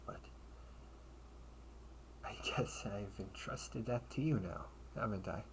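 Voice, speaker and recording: A man playing a loyal knight comrade, earnest and encouraging, in an intimate dramatic audio roleplay with halting, breathy phrasing. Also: on the low side, slight background noise. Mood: tender, resigned. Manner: slow, halting delivery with breathy, hushed phrases.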